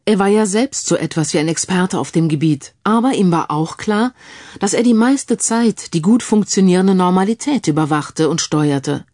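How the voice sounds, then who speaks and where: A woman's voice: lowish voice, expressive, moderate pace, darkish tone, no echo, clean studio sound. A woman narrator reading a scripted crime story as an audiobook.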